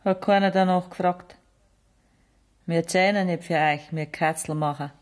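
A woman's voice: on the low side, steady tone, normal speed, natural voice. An actress playing a bitter peasant mother in a radio play, with a Bavarian accent and a blunt, sardonic, accusing manner. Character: bitter, mocking peasant mother of a condemned outlaw.

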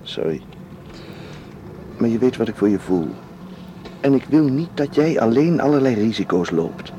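An actor in a Dutch radio play, playing a devoted, calmly persuasive man who keeps secrets. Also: medium pitch, moderate pace, a little hiss or hum, natural voice. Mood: concerned. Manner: calm, gently insistent.

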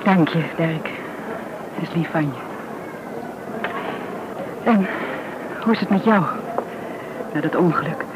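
An actress playing a composed, apologetic widow, speaking with restraint and some hesitation in a naturalistic style. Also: female voice, lowish voice, slow pace, pauses often, background noise. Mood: friendly. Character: composed, apologetic young-sounding widow greeting old friend. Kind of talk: naturalistic radio play acting. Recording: dull radio recording, background crowd bustle.

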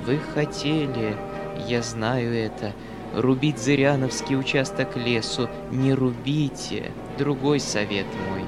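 A man's voice: medium pitch, slow, music in the background, natural voice. A man voicing a pompous, self-righteous, preachy male hanger-on in a theatrical audiobook manner. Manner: haughty, preachy, theatrical, repetitive. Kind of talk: dramatic audiobook character acting.

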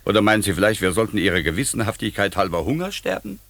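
Sarcastic impatient judge type in an old radio drama, deep-ish forceful voice, mocking. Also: male voice, very animated, fast talker. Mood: impatient, scolding. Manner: dry sarcasm, theatrical mockery. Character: sardonic, impatient male examining magistrate, superior in rank. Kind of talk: 1950s German radio play acting.

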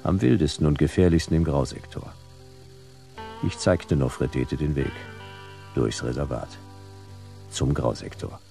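An actor playing a hard-boiled detective narrating his case in a noir radio play, gravelly, in terse, clipped phrases. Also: a little slow, pauses often, mellow, exaggerated voice. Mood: grim. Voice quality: very deep, gravelly. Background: music.

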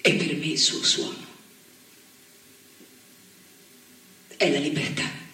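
A woman acting in a theatre monologue, playing a grown woman who was raised by her seamstress mother, speaking softly and reflectively. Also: sits low, flat delivery, normal speed, slight background noise. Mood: resolute. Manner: reflective storytelling, rising to firm declaration. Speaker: stage actress. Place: theatre stage. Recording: echoey stage recording from far off.

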